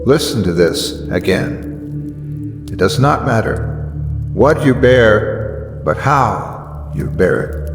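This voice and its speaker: A man delivers dramatic motivational narration with an animated, swooping intonation. He speaks slowly and solemnly, pausing for weight and stressing key words. His voice is drenched in long reverb. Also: lowish voice. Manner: deliberate, solemn, emphatic with weighty pauses. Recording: echoey long reverb over music. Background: background music.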